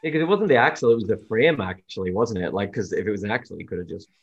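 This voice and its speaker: A man's voice: on the low side, expressive, racing, lo-fi sound. A man co-hosting a sports podcast, chatting informally and giving his opinions. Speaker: podcast host, wheelchair basketball player. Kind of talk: casual chat.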